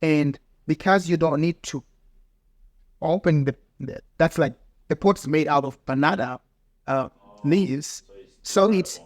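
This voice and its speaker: A man with a fairly high voice talks earnestly as a guest, halting now and then to find his words. His is Ndau. The recording is dry and close, with no room sound. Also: dry recording. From Mozambique. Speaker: guest, farmer and peace builder. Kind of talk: casual interview talk.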